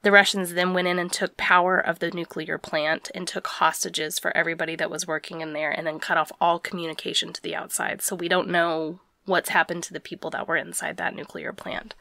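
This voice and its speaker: A woman's voice: on the low side, little pitch movement, fairly quick, creaky, hardly any pauses, sharp tone, no echo. A woman hosting a podcast, casually recapping the news in plain, conversational terms. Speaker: podcast host.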